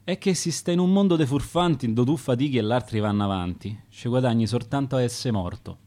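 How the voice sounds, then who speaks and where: A man's voice: mid-range voice, fairly quick, mellow, natural voice. A man reading the verse of a dead, hard-working town clerk, in a Roman accent. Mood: bitter. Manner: reciting dialect verse in rhyme.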